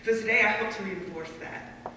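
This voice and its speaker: A man's voice: high-pitched, normal speed, pushed and bright. A man speaking in public as he introduces a reading at an event. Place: event room at a live reading. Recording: echoey hall from far off, clearly noisy.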